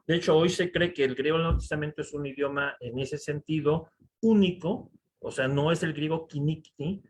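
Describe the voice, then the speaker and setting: A man with a Mexican accent giving a conference lecture over slides, animated and full of rhetorical asides to the audience. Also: on the high side, moderate pace. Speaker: conference speaker. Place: conference hall.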